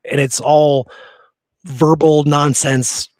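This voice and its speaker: A man's voice: a little high, fairly even intonation, takes it slowly, darkish tone, no echo. A man hosting a casual history discussion, speaking in an emphatic, argumentative and exasperated way. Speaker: podcast host.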